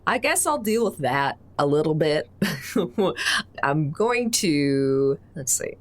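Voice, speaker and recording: Low-voiced, lively woman playing a tabletop game. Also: slow pace. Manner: wry, thinking aloud. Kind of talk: casual improvised game chat.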